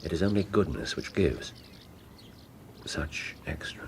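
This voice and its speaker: An actor playing a brilliant, eccentric Victorian detective in a radio drama, with an English accent and a theatrical, musing manner. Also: male voice, extremely low pitch, lively intonation, a little slow, gravelly, in a whisper, exaggerated voice. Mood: dreamy, philosophical. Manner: theatrical, musing, punctuated with hesitations and hums.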